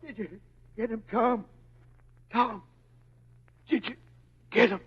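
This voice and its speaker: An actor playing a grizzled, folksy old deputy sidekick in a 1940s western radio drama. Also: male voice, very high voice, fairly even intonation, slow, breathy edge, full character voice. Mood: weak, dying. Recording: dull old radio recording.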